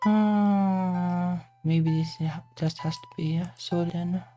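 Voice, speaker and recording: Slow, hesitant woman thinking aloud over music. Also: fairly low pitch, steady tone, warm tone. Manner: hesitant, muttering to self in short fragments. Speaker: game developer livestreamer. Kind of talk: casual thinking aloud while working on stream. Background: music playing behind the voice.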